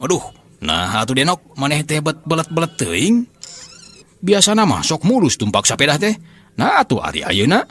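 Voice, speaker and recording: A man's voice: a little high, wildly swooping pitch, moderate pace, a bit bright, slightly rough, full character voice. A man voicing an envious, exasperated monkey trainer muttering complaints in a comic folk tale, with a Sundanese accent. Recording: noisy, hissy recording.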